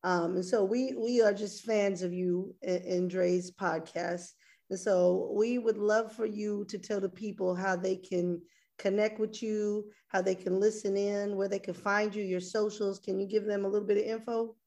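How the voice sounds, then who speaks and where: A woman's voice: mid-range voice, fairly even intonation, moderate pace, mellow. A woman hosting a podcast who steers the conversation and asks the guest where to find him. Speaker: podcast host. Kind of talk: casual podcast interview.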